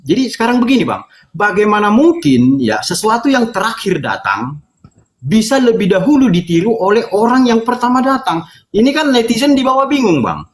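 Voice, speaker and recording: A man's voice: sits high, sing-song, moderate pace, roomy sound. A man in an informal religious debate, explaining his points and arguing through illustrations. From North Sumatra, Indonesia. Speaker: debate participant.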